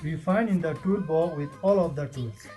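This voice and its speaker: Low, mellow woman reading a story. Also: expressive, moderate pace, soft background music. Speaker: story reader. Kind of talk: read-aloud children's bedtime story.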